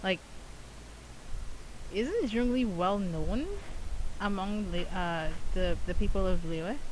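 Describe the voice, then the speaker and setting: A game streamer giving casual, chatty commentary, often halting and stammering over repeated words. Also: medium pitch, a little hiss or hum, low-quality recording.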